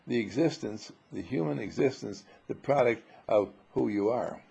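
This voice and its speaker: A man giving an informal spiritual sermon full of rhetorical questions, emphatic and dramatic, with a husky, gravelly voice. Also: mid-range voice, a little mellow, muffled recording. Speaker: spiritual teacher.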